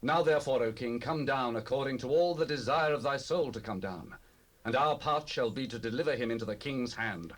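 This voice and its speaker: Informer for Bible audio drama, male actor: mid-pitched, deferential, formal, fawning. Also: a little fast, natural voice. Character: fawning informer addressing his king. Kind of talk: dramatized King James Bible recording.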